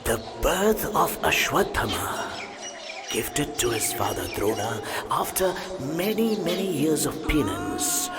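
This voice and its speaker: An ancient male god telling myths in a video game. He is slow and grand, with big theatrical swoops in pitch, over loud music. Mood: ominous, storytelling. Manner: theatrical, weighty, dwelling on words. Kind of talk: dramatic mythological narration in a video game.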